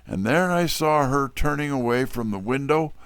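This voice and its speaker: Wry detective narrator male actor, audio drama. Mid-pitched, gravelly fry, dry close recording. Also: expressive, moderate pace, fairly bright tone, no room sound. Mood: wry narration.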